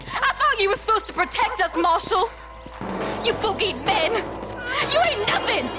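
Indignant frontier woman for a Western game, extremely high, wildly swooping delivery, scolding. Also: sing-song, moderate pace, loud music behind the voice. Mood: indignant, anguished. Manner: scolding, impassioned. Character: bitter, indignant frontier townswoman. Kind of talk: video game voice acting, Western.

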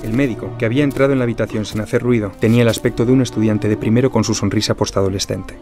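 A man's voice: fairly low pitch, steady tone, racing, music in the background, lightly acted. A man reading scripted literary narration for a crime drama. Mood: solemn. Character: solemn crime-story narrator.

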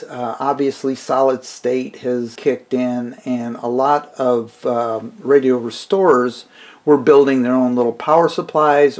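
Middle aged guy, mid pitched voice, chatting thru a hobby review off the cuff, plain spoken. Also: a little mellow. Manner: conversational, unscripted, plain-spoken. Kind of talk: informal explanatory product review narration.

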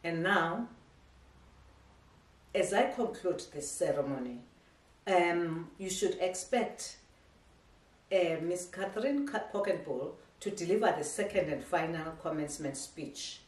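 A middle-aged woman gives a prepared graduation address in a measured, ceremonial tone. Her voice is low for a woman. She is recorded from across a living room, so the sound is echoey and distant. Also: expressive. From South Africa. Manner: measured, ceremonial delivery with slight stumbles. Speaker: academy head giving a graduation speech. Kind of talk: formal prepared speech read aloud. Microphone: camera across the room.

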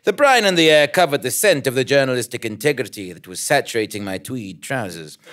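A man's voice: mid-range voice, lively intonation, a little fast, a bit bright, slight background noise, close to an everyday voice. A man playing a self-important investigative journalist who narrates a comic audio drama in a pompous, melodramatic manner. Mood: pompous narration.

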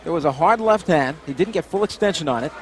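A man's voice: a little high, expressive, fast. A man giving analysis of boxing replays as a broadcast commentator. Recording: noisy arena broadcast with crowd. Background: arena crowd.

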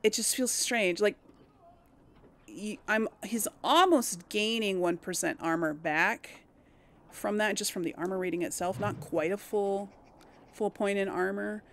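Slow, creaky-voiced woman musing over a game. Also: mid-range voice, fairly bright tone. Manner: hesitant, musing, talks to herself. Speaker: gaming host. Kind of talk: casual let's play commentary, thinking aloud.

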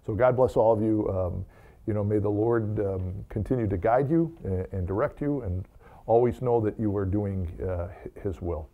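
Older American man, low gravelly voice. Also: narrow, tinny audio. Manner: halting, with repeated words. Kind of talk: informal remarks and blessing at Mass. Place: small chapel, indoors.

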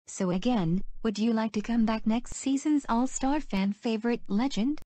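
A woman voicing the host of a homemade animated competition show, effusive and repeating her offer. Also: on the high side, normal speed, no echo, close to an everyday voice. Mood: enthusiastic. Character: female game show host, enthusiastic and warm. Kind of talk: amateur animated show voice acting.

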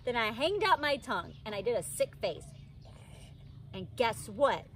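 A woman's voice: fairly high pitch, very animated, unhurried, a bit bright. A woman in her thirties, a teacher, reading a children's storybook aloud expressively outdoors. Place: outdoors in a wicker pod chair under trees. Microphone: camera a few feet away. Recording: outdoor ambient noise.

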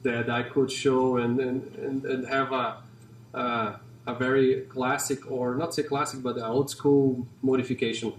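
A man in his forties with a Brazilian accent, talking casually and haltingly about his car in a large garage showroom, with many fillers and restarts. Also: medium pitch, flat delivery, a little hiss or hum. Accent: Brazilian Portuguese. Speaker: company CEO and car collector. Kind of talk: casual walkaround interview. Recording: roomy and distant in a garage.

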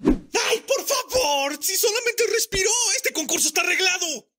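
A woman's voice: very high-pitched, lively intonation, normal speed, sharp tone, faint music behind, heavily acted. A woman playing a fiery, loyal student in an anime fandub, with a Latin American accent. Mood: indignant. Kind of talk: anime comic fandub acting.

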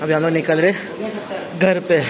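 A young man vlogging casually at a gym, loudly egging his friends on through their lifts. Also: a little high, level tone, normal speed, over a noisy crowd. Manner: loud cheering and egging on friends. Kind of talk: casual gym vlog chat. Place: indoor gym, later outdoors in rain. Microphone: handheld phone camera. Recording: noisy phone recording.

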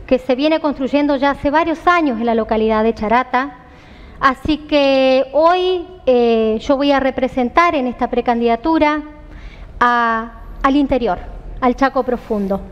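A woman's voice: high-pitched, clean tone, in a large hall, muffled recording. A woman in her thirties with an Argentine accent, a candidate speaking earnestly and emphatically in a TV studio interview on a headset mic. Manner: earnest, emphatic, repeats phrases for stress. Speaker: political candidate being interviewed.

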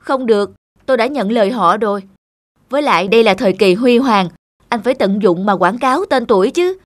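Bossy, stern talent manager. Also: male voice, sits high, expressive, fairly quick, dry recording, clean studio sound. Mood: brisk, insistent. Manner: bossy, insistent. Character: pushy, stern middle-aged male talent manager. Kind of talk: cartoon voice acting.

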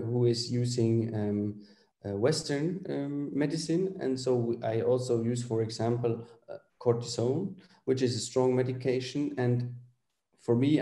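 A man in his forties speaks as a guest vet on a video call, pausing often with fillers. His tone is mellow and dark, and the room around him rings with echo. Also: mid-range voice. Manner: hesitant, many fillers. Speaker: veterinary surgeon guest. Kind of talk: answering questions on a webinar. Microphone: video call webcam.

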